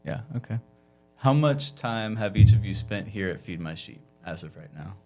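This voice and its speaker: A man's voice: fairly low pitch, expressive, moderate pace, warm tone, soft background music. A man hosting a podcast, asking questions in a casual interview style. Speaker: podcast host.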